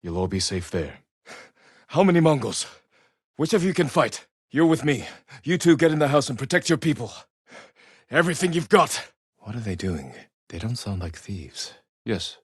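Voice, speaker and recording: An actor playing an honourable, stoic samurai warrior in a video game, speaking in a measured, solemn way with short, clipped sentences. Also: male voice, medium pitch, sing-song, husky, no room sound, clean studio sound. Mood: urgent, commanding, then hushed, grave. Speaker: video game lead character. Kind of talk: dramatic video game voice acting.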